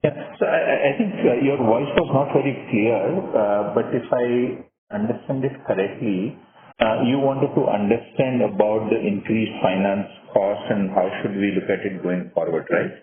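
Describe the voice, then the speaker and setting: A man's voice: on the low side, normal speed, slight background noise. An Indian-accented man, a finance executive answering on an earnings call, phrasing hesitantly with frequent "you know". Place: conference call over phone. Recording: echoey, tinny conference phone line.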